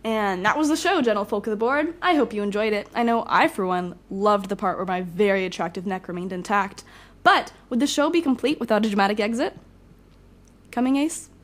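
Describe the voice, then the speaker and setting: A woman's voice: medium pitch, fairly quick, lightly acted. A woman playing a sassy, theatrical young villain in an audio drama, sarcastic and dramatic. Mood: showy, smug.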